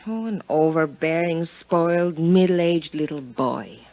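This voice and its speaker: An actress playing a worldly, poised, impoverished young countess with a languid, guarded manner in a 1950s radio drama. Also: female voice, deep voice, unhurried. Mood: weary, bitter. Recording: muffled 1950s radio recording.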